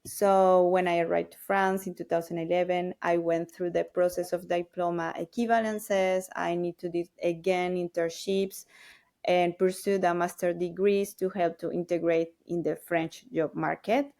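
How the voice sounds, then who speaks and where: Low, even-toned Colombian-accented woman. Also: moderate pace. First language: Spanish. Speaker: guest, marketing professional. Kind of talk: conversational self-introduction.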